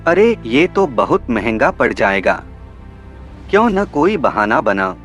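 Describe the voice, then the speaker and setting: A man acts out a vain, tight-fisted rich merchant from a storybook. He grumbles and dodges in slow speech, his pitch swinging widely. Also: big pitch swings, a bit bright, muffled recording, faint music behind. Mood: reluctant. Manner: evasive, grumbling. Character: miserly, vain rich male merchant making excuses. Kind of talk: storybook narration.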